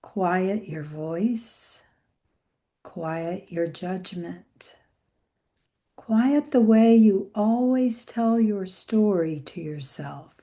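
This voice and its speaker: Slow, mellow woman leading meditation. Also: mid-range voice, lively intonation. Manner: gentle, soothing, unhurried with long pauses. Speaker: meditation and yoga teacher leading a guided meditation. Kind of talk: guided meditation.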